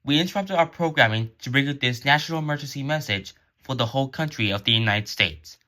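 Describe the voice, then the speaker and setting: A man's voice: mid-range voice, fast talker, bright, forceful tone. A man reading scripted emergency alert narration in a formal, solemn announcer manner.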